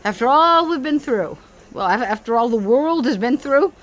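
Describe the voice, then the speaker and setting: A woman's voice: sits high, expressive, normal speed, low-quality recording. An actress playing a self-absorbed, sentimental older mother in an audio comedy, earnest and dramatic. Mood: wounded, earnest.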